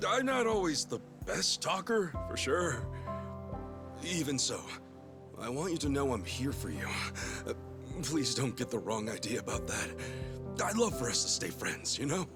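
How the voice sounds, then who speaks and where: A man's voice: mid-range voice, very animated, moderate pace, over music, close to an everyday voice. A man playing an earnest, awkward, big-hearted ex-yakuza in a video game, emphatic and self-deprecating. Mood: sheepish, sincere. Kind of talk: dramatic video game voice acting.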